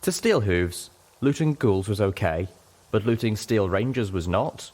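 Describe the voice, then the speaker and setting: A man's voice: lowish voice, expressive, measured pace, darkish tone, a little hiss or hum. A man reading a scripted first-person story as an audiobook narrator.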